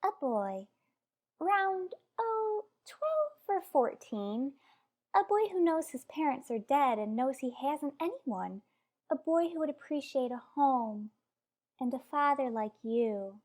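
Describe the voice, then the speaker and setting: A woman playing a gentle, affectionate wife in an old-time radio soap, speaking tentatively with halting phrases. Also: high-pitched, big pitch swings, exaggerated voice. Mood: tentative, earnest. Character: gentle, hesitant wife longing to adopt an older boy.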